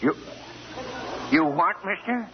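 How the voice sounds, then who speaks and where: An actor playing a nervous, simple, easily spooked bridge keeper in a 1940s radio drama, stammering. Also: male voice, high voice, animated, slow pace, crowd noise. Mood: puzzled concern. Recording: dull, hissy 1940s radio recording.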